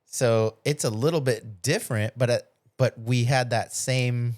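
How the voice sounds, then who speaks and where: A middle-aged man chats as a podcast host in a medium-pitched voice. He talks informally, recounting stories and slipping into quoted dialogue, with some swearing. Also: steady tone, a little slow, a little mellow, dry recording, clean studio sound. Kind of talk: casual chat, storytelling.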